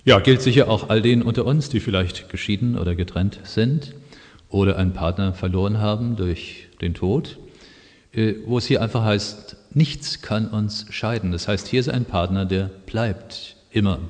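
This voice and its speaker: Low-voiced male preacher in an echoing hall. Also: darkish tone. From Germany. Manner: conversational, explanatory, pausing with fillers. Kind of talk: sermon with personal anecdotes and Bible quotations. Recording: long echoing reverb of a hall.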